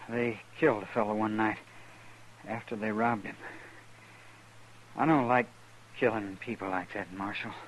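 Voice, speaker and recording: Wounded ex-outlaw, male actor: lowish voice, American Western drawl, plain-spoken, regretful, old radio play with faint noise. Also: fairly even intonation, a little slow, pauses often, lightly acted. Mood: weary, regretful. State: weak from a gunshot wound. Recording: faintly noisy vintage radio recording.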